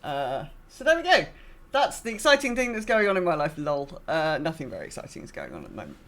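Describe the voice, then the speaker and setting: A woman co-hosting a podcast in casual chat, talking in a halting, self-deprecating way. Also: low voice, big pitch swings, fairly bright tone. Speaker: podcast co-host.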